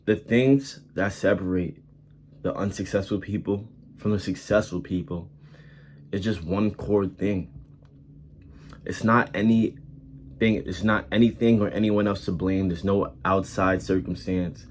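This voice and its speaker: A man's voice: sits low, fairly even intonation, measured pace, slight background noise. A man in his twenties giving casual, earnest motivational advice, repeating himself and using casual slang. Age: 20s. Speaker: vlogger giving life advice.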